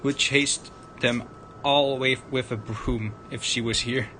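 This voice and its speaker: A man narrating a visual novel aloud on a livestream, dramatic but halting, stumbling over the written words. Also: steady tone, sharp tone. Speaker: streamer, narrator. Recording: noisy room with low rumble.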